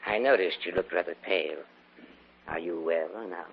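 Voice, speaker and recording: An actor playing a lonely, agreeable old gentleman in an old radio drama, courteous, measured and mildly hesitant. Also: deep voice, gravelly, breathy edge, full character voice. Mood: courteous, probing. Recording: muffled vintage radio recording.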